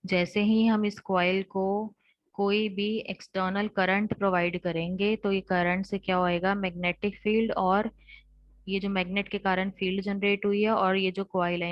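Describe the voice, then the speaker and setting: An Indian woman gives a technical lecture in a slightly low, monotone voice. She explains in steps, rephrasing as she goes. The sound is dry and close but dull and lo-fi, like online class audio. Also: no room sound. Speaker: teacher.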